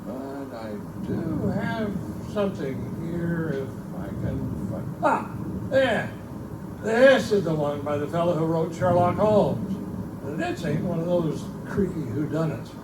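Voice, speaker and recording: A man's voice: a little high, expressive, slow pace. A man playing a folksy, wisecracking keeper of a remote outpost library, chatty and theatrical, in pulp storytelling style at a live show. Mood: amused, chatty. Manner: colloquial, chatty, theatrical, irreverent. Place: live in a bookstore. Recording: roomy distant live recording, loud background noise.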